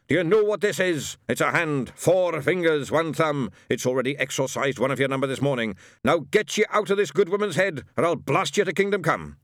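Brief: male narrator as a fiery old witchfinder, shouting and blustering, lively swoops. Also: fairly high pitch, fairly quick, hardly any pauses, no room sound, studio quality, voice pushed up.

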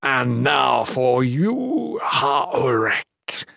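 Slow, rumbling monstrous villain. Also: male voice, big pitch swings, gravelly, pushed and bright, dry recording, studio quality, exaggerated voice. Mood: gloating, menacing. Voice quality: rumbling, thunderous. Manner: slow, menacing, grandiose declarations. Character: monstrous undead male villain, rotting, mocking, imperious tyrant. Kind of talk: fantasy audiobook villain.

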